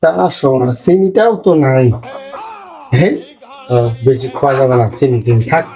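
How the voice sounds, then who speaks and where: A man's voice: mid-range voice, lively intonation, normal speed, dark tone, close to an everyday voice. A man playing a stingy old grandpa in a comedy skit, coaxing and making repetitive excuses in a rural North Bengal accent. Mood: grudging, scheming. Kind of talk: comedy skit acting in dialect.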